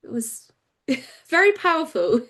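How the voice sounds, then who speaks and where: A woman, a campaigner and interview guest, speaking frankly and openly in a relaxed conversation. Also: sits high. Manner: open and frank, quick and animated when recounting.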